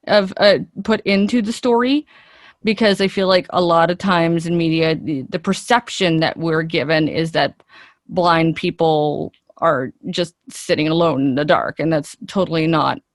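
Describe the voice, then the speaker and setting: A woman's voice: fairly low pitch, no echo, clean studio sound. A woman, an actress, chatting casually and expressively as an interview guest.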